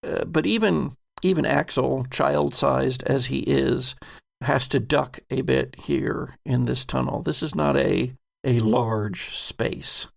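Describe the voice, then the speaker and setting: Male game master, unhurried and gravelly, descriptive horror sci-fi storytelling. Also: medium pitch.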